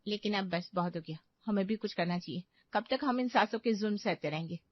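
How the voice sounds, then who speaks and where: A woman's voice: mid-range voice, fairly quick, dull audio, lightly acted. A woman voicing an anxious but game young daughter-in-law in a cartoon story, earnest and conspiratorial. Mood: resolute. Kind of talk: cartoon moral story acting.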